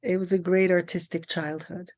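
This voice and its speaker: A woman's voice: lowish voice, little pitch movement, normal speed, darkish tone. A middle-aged woman from France, a guest on a podcast interview, speaking articulately and emphatically about teaching and directing actors. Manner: articulate and emphatic, builds long lists of phrases.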